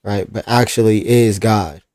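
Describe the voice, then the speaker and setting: A man's voice: on the low side, measured pace, darkish tone, no echo, studio quality. A man making the case for his faith in a live online debate, explaining step by step and checking in with "right?".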